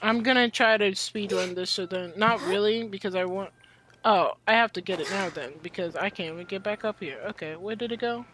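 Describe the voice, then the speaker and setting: A teenage girl rambling and reacting loudly while commenting on her gameplay. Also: mid-range voice, brisk, slight background noise. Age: teens. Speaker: gameplay streamer.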